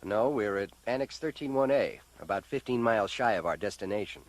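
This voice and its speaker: An actor in a science fiction audio drama playing a resolute, practical man leading an escape, brisk and commanding. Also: lowish voice, lively intonation, no room sound, close to an everyday voice. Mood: matter-of-fact.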